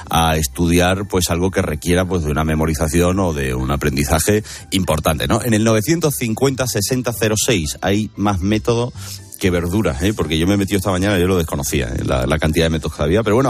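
Fast-talking chatty Spanish radio host. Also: male voice, low voice. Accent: Spanish from Spain. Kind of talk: lively radio talk with callers.

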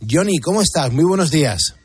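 A man with a Castilian accent from Spain, hosting live radio in a chatty, encouraging way with a husky voice. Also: a little high, expressive, fairly quick, no echo, clean studio sound. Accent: Spanish, from Spain. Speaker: radio host.

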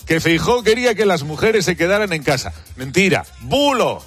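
A man's voice: high voice, sing-song, normal speed, fairly bright tone, faint music behind. A man delivering a sarcastic, mock-solemn satirical bit on the radio, punching out short retorts.

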